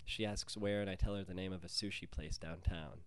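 A man's voice: deep voice, fairly even intonation, fairly quick. A man reading his own first-person short story as scripted narration.

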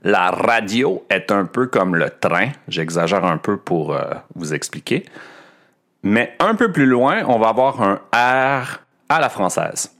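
A Québécois man talks slowly and deliberately as he walks listeners through a language lesson. His voice sits a little low, and he pauses often to pick his words. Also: very animated, fairly bright tone. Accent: Québécois French. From Quebec. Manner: slow, careful, with frequent hesitations. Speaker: podcast host and French teacher. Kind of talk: explanatory podcast lesson.

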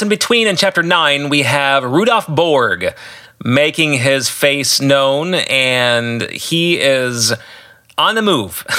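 Bright, forceful higher-voiced man, podcast commentary. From United States. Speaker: podcast host and author commenting on own book. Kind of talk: informal solo commentary.

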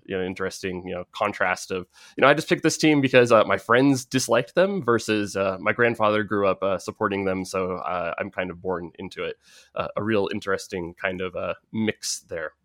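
Low-voiced American man, halting and rambling. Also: a bit bright, no echo, studio quality. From San Francisco Bay Area, United States. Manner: halting, rambling, filler-heavy. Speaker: podcast host. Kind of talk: casual sports chat.

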